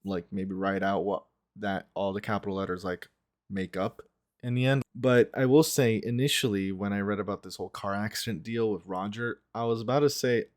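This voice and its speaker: A man's voice: fairly low pitch, fairly even intonation, fairly quick. A man giving casual reaction commentary as a video host, thinking aloud as he goes. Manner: musing, thinking aloud, frequent "like" and "right".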